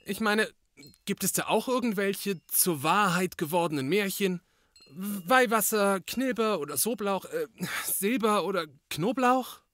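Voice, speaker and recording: A man's voice: sits high, a little slow, a bit bright, no echo. An actor playing a fussy, easily flustered male detective's assistant in a German comedy audio drama, nervous and indignant. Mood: hesitant, nervous. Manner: nervous, stammering when rattled, indignant.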